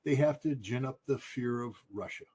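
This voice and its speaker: A man's voice: medium pitch, moderate pace, darkish tone. A man gives blunt, emphatic political commentary. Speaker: guest commentator.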